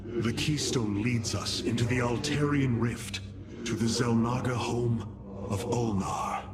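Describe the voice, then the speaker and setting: Solemn alien hero commander, formal n grave, pitch moves a lot, loud music behind, video game cutscene. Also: male voice. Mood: solemn, reverent. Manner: grave, formal, measured delivery. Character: noble, resolute male alien warrior leader, solemn. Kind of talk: science fiction game cutscene acting.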